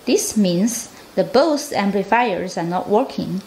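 A woman's voice: medium pitch, animated, slow, darkish tone. A woman reading a scripted product demonstration in a careful, slightly stilted manner. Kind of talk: scripted product demo narration. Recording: roomy echo, faint background noise.

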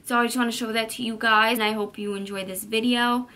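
A woman's voice: fairly high pitch, fairly even intonation, fast, sharp tone. A woman in her twenties talking casually to the camera from her bedroom as a fitness vlogger, upbeat and chatty with fillers like um and you know. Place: bedroom at home, indoors.

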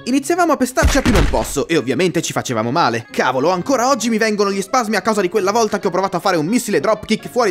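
A man doing comedic scripted cartoon storytelling in an exasperated, emphatic and crude manner. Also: high voice.

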